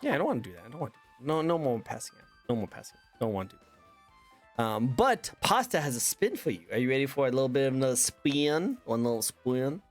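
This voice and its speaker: A man hosting a casual interview, chatty and quick to laugh. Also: medium pitch, big pitch swings, soft background music. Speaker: interview host.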